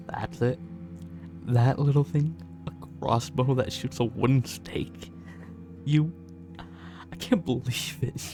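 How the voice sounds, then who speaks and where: A man playing a smug, condescending vampire who teases his prey with quiet menace in an ASMR roleplay. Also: mid-range voice, lightly acted. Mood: amused, mocking. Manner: condescending, slow, teasing, menacing. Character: smug, teasing male vampire stalker toying with his hunter. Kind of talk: ASMR roleplay, acted monologue.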